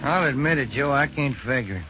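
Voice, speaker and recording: Folksy american police detective partner, mid-pitched, old muffled 1940s radio w/ hiss. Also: male voice, lively intonation, brisk, pushed and bright, faint music behind. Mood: plain, keen. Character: plain-spoken, easygoing police detective sidekick. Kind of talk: 1940s radio crime drama acting.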